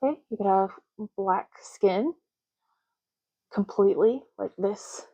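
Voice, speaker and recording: Female ASMR host as intake clerk: relaxed dark tone, mid pitch, matter-of-fact, pausing between short phrases. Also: low-quality recording. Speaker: ASMR roleplay host, playing an intake clerk making ID cards. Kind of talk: ASMR roleplay, soft-spoken personal attention.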